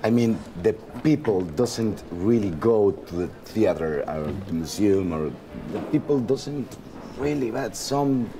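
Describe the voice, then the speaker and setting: Deep-voiced Mexican man, halting English. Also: lively intonation, mellow, faint music behind. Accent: Mexican Spanish. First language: Spanish. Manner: halting, searching for English words. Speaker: actor, interview guest. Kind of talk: casual festival interview.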